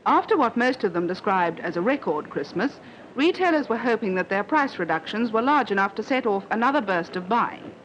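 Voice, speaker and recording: A woman's voice: mid-range voice, lively intonation, fairly quick. A woman reading scripted narration for a 1950s newsreel. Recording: dull 1950s newsreel with faint noise.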